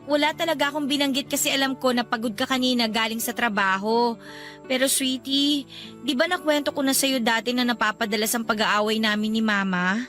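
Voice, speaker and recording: Needy runaway girlfriend for radio drama, played by a woman: high, bright voice, plaintive and sulky. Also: brisk, soft background music, close to an everyday voice. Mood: hesitant, troubled. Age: young adult. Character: upset, needy young girlfriend who ran away from home. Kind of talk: Tagalog radio love drama acting.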